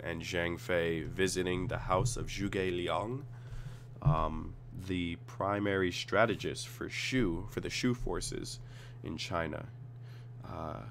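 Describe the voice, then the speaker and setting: A man with a cold and a slightly raspy voice, casually reading card text aloud and reacting as he reviews cards at his computer. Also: deep voice, slight background noise. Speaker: card game tutorial host.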